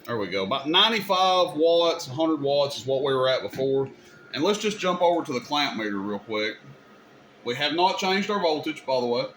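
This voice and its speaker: A man's voice: a little high, expressive, moderate pace, a bit bright. A man hosting a casual hands-on demonstration, speaking in an informal, folksy and opinionated way. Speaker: host demonstrating radio gear. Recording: clear background noise, room ambience.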